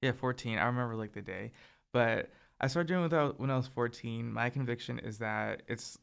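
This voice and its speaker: A young man co-hosting a casual chat, soft-spoken and hesitant, filling his talk with "like" and "um". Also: medium pitch, moderate pace, vocal fry, clear, resonant tone. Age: young adult. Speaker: podcast co-host.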